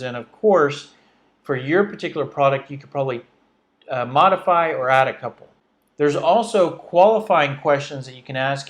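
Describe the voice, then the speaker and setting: Higher-voiced man teaching a training session. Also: fairly bright tone. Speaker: sales trainer and webinar presenter. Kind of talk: instructional slide presentation, explaining step by step.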